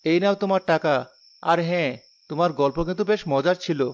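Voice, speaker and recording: A man playing a brave, compassionate young village doctor in an animated story, earnest and reasoning, with music behind him. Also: on the high side, quick pace, smooth, highs cut off, lightly acted. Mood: casual, confident.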